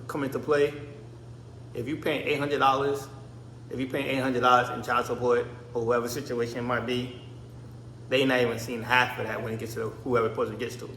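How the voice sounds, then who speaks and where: Rambling Barbados-born man, flat delivery. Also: mid-range voice, a little hiss or hum. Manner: rambling, with many restarts and "I mean". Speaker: vlogger. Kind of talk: casual personal storytelling. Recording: echoey room.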